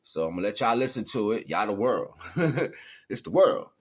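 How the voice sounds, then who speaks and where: A man's voice: on the low side, very animated, normal speed, breathy edge. An American man chatting casually on a livestream as a musician, slangy and laid-back. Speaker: streamer and musician presenting own song.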